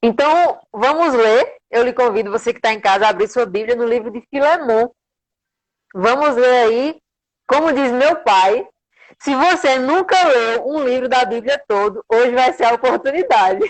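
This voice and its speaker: A woman's voice: a little high, moderate pace, poor audio. A woman with a strong accent from the rural interior of Paraíba in northeastern Brazil, preaching devotionally and reverently on a livestream as a guest. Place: remote social media livestream.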